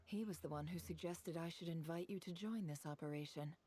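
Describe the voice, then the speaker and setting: A woman playing a composed, shrewd leader in an anime game, speaking in a measured, formal, commanding way. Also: fairly low pitch, dark tone, breathy edge.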